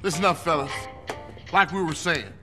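A man's voice: a little high, normal speed, sharp tone. A man playing a fiery street gang leader in a video game cutscene, rallying his crew in commanding, slangy talk. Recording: music playing behind.

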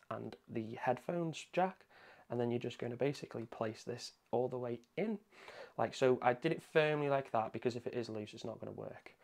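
A man's voice: lowish voice, darkish tone. A man presenting a casual hands-on tech tutorial, explaining things in an informal, conversational way. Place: indoor room at a home desk.

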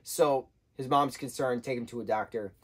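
A man in his thirties, husky-voiced, giving an animated casual book review from a sofa indoors. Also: medium pitch, moderate pace. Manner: animated, gestures a lot, acts out quotes in changing voices. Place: indoor room, sofa with patterned throw.

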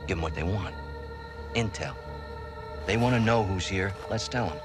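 An actor playing a brash, cocky military contractor commander in a video game. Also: male voice, sits low, normal speed, music in the background, lightly acted. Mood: decisive.

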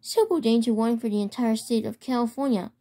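A woman reading a scripted mock emergency alert as an amateur announcer, halting with stumbles and repeated words. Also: on the high side, dark tone.

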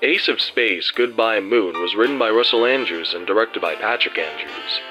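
A male announcer reads scripted credits for an audio drama. His voice sits fairly low, with prominent music underneath. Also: moderate pace, rough recording. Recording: music behind the voice.

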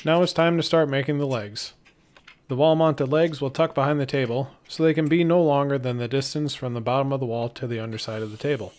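A man's voice: medium pitch, fairly even intonation, fairly quick, a little mellow, slight background noise. A man giving matter-of-fact, scripted how-to narration as a DIY builder.

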